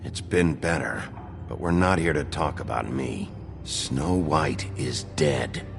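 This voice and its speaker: An actor voicing a gruff, weary, intimidating sheriff in a video game, terse and blunt with a deep gravelly voice. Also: male voice, animated, slow, over music, full character voice. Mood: stern. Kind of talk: video game drama acting.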